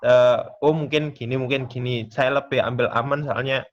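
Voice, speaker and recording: A man taking part in a class, speaking casually and politely in a halting, hesitant way. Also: medium pitch, steady tone. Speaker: webinar participant. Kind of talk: casual question and discussion. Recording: slightly dull lo-fi call audio.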